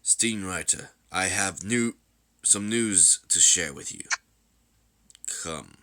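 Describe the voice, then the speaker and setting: A man playing a solemn, imperious emperor in a game story, speaking with slow, commanding gravity. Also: low-pitched, sharp tone, slight background noise, heavily acted.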